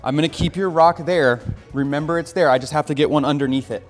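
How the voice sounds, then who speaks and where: A man's voice: fairly high pitch, fast, big-room sound, soft background music. An American man hosting a casual hobby vlog, upbeat and coaching, explaining each step plainly. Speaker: aquarium hobbyist host.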